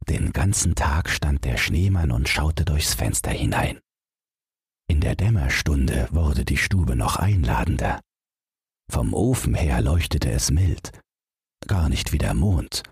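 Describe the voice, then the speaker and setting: A man narrating a bedtime fairy tale as an expressive storyteller. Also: a bass voice, creaky, husky, no room sound, studio quality. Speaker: narrator, podcast host.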